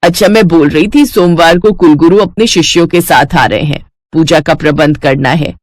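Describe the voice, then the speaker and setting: A woman playing a kind older mother-in-law who speaks gently and gives household instructions. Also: sits low, normal speed, no echo. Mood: instructing.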